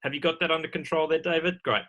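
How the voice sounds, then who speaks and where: A man moderating a live online panel Q&A over a video call, speaking in an informal, halting way. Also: on the high side, steady tone, bright, forceful tone. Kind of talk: hosting a live webinar Q&A.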